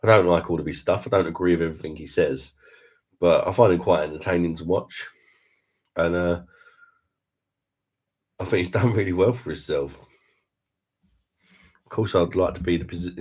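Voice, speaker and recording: A middle-aged man with a very deep voice, hosting a casual beer livestream, reading out viewer comments and chatting in a laid-back, laughing way. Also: darkish tone, breathy edge. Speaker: beer review livestream host.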